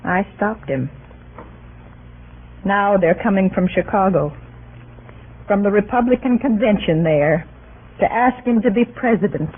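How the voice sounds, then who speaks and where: An actress playing a proud, sharp-tongued, devoted wife of a politician in an earnest, theatrical old radio drama style. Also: female voice, medium pitch, measured pace, long pauses, slightly rough. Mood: firm, proud. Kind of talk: 1940s radio acting. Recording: muffled, band-limited old radio recording.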